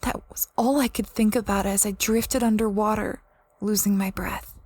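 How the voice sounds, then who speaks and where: A woman's voice: mid-range voice, fairly even intonation, normal speed, a little mellow, slightly rough, no room sound, close to an everyday voice. A woman playing a space explorer who recounts a strange expedition in a conversational, first-person audio drama style. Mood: wounded, intense. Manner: reflective, conversational storytelling.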